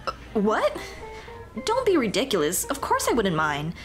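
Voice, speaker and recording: A woman playing a shy, earnest Dullahan schoolteacher in an ASMR roleplay, speaking softly and expressively. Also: fairly high pitch, huge pitch swings, moderate pace, soft background music. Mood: earnest, warm. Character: shy, earnest female Dullahan teacher, headless monster girl on a date.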